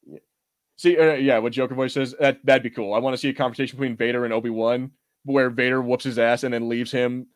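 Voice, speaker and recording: A man's voice: mid-range voice, steady tone, quick pace, no room sound, clean studio sound. A man hosting a podcast, chatting casually about fandom in an enthusiastic, rambling, jokey way. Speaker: podcast host. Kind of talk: casual fan discussion.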